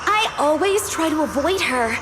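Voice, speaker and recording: Casting: spiteful young game character, extremely high voice, resentful, noisy backdrop. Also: female voice, animated, moderate pace. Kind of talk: dramatic video game voice acting.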